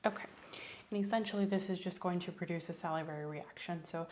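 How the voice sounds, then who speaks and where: A soft-spoken woman doing an ASMR medical exam roleplay, often dropping to a whisper as she gives gentle, reassuring instructions. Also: lowish voice, fast talker, slight background noise. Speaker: ASMR creator playing a doctor.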